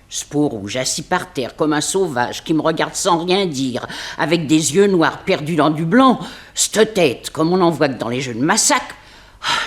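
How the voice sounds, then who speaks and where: Fearful housekeeper, colloquial, indignant, lively swooping intonation, vintage radio play. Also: female voice, low-pitched. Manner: plain-spoken, colloquial, indignant. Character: fearful, grumbling housekeeper, complaining about her job. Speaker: actor. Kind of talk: 1960s French radio comedy-mystery acting.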